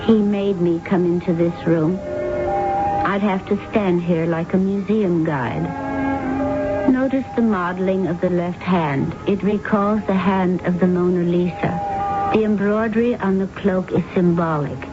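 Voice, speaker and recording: An actress playing a haunted, grief-broken woman, prematurely aged and ruled by her dead father, in intense, halting 1970s radio drama style. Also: lowish voice, loud music behind the voice, natural voice. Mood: anguished, bitter. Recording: dull, noisy old radio recording.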